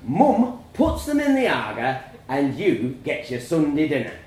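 A man voicing a gruff, blunt farmer father in a told story, booming and emphatic. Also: wildly swooping pitch, a little slow, darkish tone, reverberant, a little hiss or hum. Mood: stern, blunt.

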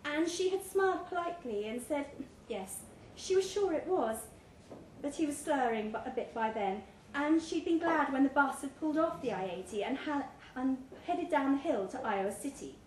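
A woman reading a short story aloud at a live pub event, measured and with dramatic pauses. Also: sits high, animated, a little mellow. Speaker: story reader. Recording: echoey room, clear background noise.

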